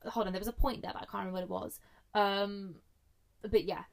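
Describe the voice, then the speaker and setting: A young woman co-hosting a podcast, talking loudly and animatedly while holding the microphone very close. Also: medium pitch, brisk, creaky. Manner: loud, animated ranting. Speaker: podcast co-host. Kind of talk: casual podcast chat. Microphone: handheld mic held close, no foam cover.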